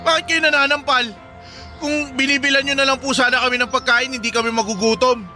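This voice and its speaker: A frightened young Filipino son, whines and begs tearfully in a radio drama. The voice is extremely high, with music clearly underneath. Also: male voice, level tone, fast, sharp tone, exaggerated voice. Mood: hurt, defiant. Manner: pleading, whining, tearful. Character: frightened, hungry young son of a violent mother.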